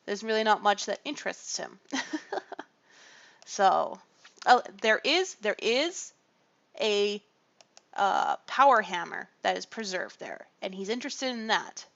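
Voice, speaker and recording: Gravelly, animated woman chatting while drawing. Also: medium pitch, lo-fi sound. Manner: rambling and chatty, with frequent fillers and laughs. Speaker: streamer drawing colouring pages. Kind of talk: casual livestream chat while drawing.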